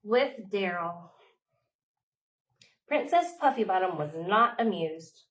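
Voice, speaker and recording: A woman leading a storytime for young children, reading aloud expressively with dramatic pauses, like a children's librarian. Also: mid-range voice, expressive, a bit bright, poor audio.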